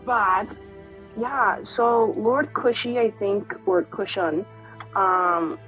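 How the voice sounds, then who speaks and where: A woman chatting in character during a tabletop roleplaying game, animated, acting out the lines of the people she quotes. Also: mid-range voice, extremely slow, soft background music, rough recording. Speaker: player. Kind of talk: casual in-character roleplay chat.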